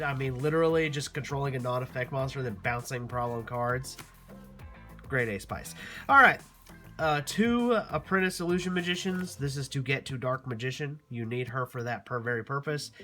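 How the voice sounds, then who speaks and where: A man's voice: medium pitch, sharp tone. An upbeat man hosting his own channel, chatting casually through a deck for viewers. His voice sits in a middle register and comes out nasal from allergies. Faint music plays underneath. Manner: upbeat, chatty, with frequent filler "uh"s and restarts. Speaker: YouTube card game channel host.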